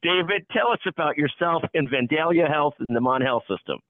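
A man hosting a conversational interview, repeating words in a halting way as he puts questions to guests. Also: a little high, animated, no echo, phone-quality sound. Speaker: podcast host interviewing guests.

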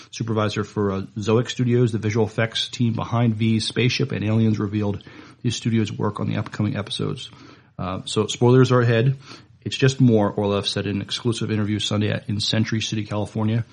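Gravelly low-voiced male podcast co-host. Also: a little mellow. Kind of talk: casual chat and news reading.

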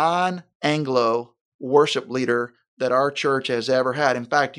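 A man speaks as a pastor in a conversation, in a mid-range voice. He talks in an earnest, deliberate way and often slows down to stress a point. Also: medium pitch, fairly bright tone. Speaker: senior pastor. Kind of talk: conversational interview.

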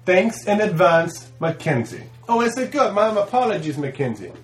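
A man's voice: high-pitched, big pitch swings, normal speed, echoey room. A man voicing a blunt, earnest Russian sidekick with a Russian accent and stilted, broken grammar, in comic podcast banter. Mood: earnest, halting.

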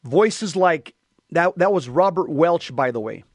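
A man's voice: on the high side, animated, measured pace, no room sound, clean studio sound. An American man hosting a talk radio show, giving emphatic monologue commentary in which he repeats and restates his points.

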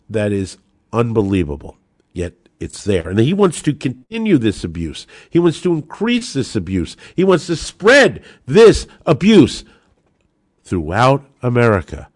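Guy with a lowish voice doing an emphatic opinion podcast, lawyer host, really dry sound. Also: no echo.

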